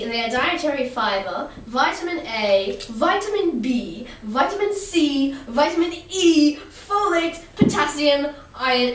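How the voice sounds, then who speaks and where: Girl as an earnest, high-voiced vegetable puppet. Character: cheery, informative vegetable puppets. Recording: roomy, noisy, distant amateur recording.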